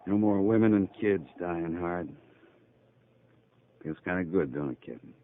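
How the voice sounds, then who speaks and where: An actor playing a rough, folksy cavalry sergeant who chews tobacco and craves action, in a 1950s Western radio drama. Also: male voice, deep voice, measured pace. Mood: grimly satisfied. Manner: folksy, ungrammatical frontier talk. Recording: muffled, hissy 1950s radio recording.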